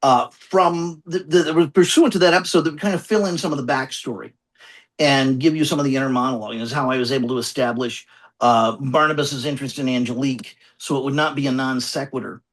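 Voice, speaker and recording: A man with a husky, slightly rough voice at a middling pitch gives reflective interview answers. He talks as an author explaining his work, pausing and doubling back on phrases as he thinks. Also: poor audio. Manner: halting, thoughtful, circling back and repeating phrases. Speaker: guest author and essayist.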